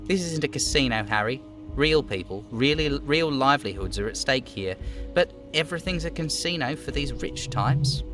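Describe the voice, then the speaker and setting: A man voicing an overweight union boss in a video game, falsely chummy, smooth and theatrically indignant. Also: mid-range voice, animated, moderate pace, over music, voice pushed up, lightly acted. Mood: serious. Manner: smooth, theatrical, over-familiar.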